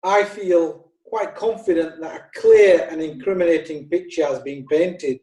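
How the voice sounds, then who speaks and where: An actor playing a prosecutor addressing the court formally. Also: male voice, fairly high pitch, measured pace, dark tone, echoey room, recorded from a distance, natural voice, rough recording. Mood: confident. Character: male prosecutor, confident. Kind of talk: amateur audio drama acting.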